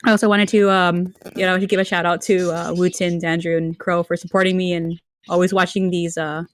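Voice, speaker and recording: A woman from Mexico, a Spanish speaker, giving a prepared speech as a league referee while under the weather, halting and apologetic with many fillers and restarts. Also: on the low side, level tone. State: under the weather, slightly murky voice. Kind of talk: prepared speech to an online community.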